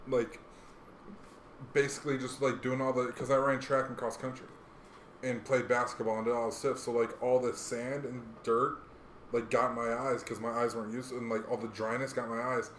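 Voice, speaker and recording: A man's voice: mid-range voice, level tone, normal speed, a bit bright. An American man from Hawaii chatting casually as a podcast co-host, rambling along with plenty of slang and swearing. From Hawaii, United States. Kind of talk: casual chat. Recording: roomy echo, faint background noise.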